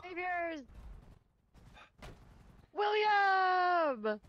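A woman's voice: very high-pitched, sing-song, very slow, slight background noise. A woman talking in character over voice chat in an online role-play game. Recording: dull game voice chat with sirens.

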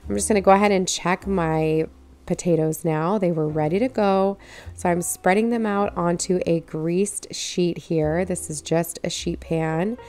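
A woman narrating her home cooking video in a relaxed, step-by-step way, walking viewers through each recipe stage. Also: lowish voice, unhurried, soft background music. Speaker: cooking channel host.

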